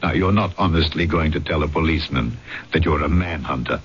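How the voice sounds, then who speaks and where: A man's voice: extremely low pitch, brisk, fairly bright tone, a little hiss or hum. An actor playing a small, dapper policeman, shrewd and courteous, narrating and acting in an old-time radio drama. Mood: polite, probing. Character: small dapper French policeman, shrewd, polite, conscience-torn. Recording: dull old radio recording with music.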